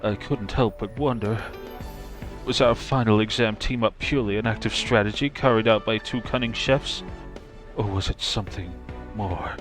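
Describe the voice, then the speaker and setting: A man's voice: lowish voice, moderate pace, faint music behind, natural voice. A man playing a sincere, smitten culinary student in a comic dating game, speaking earnestly and a little hesitantly. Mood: smitten, hopeful. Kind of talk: comic dating-sim voice acting.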